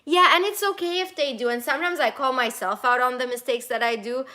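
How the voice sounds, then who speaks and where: A woman's voice: sits high, fairly quick, pushed and bright. A young woman whose first language is Armenian, chatting casually on a live stream as she reads and answers viewers' questions, with many fillers and restarts. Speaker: travel vlogger answering live chat. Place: home in Toronto.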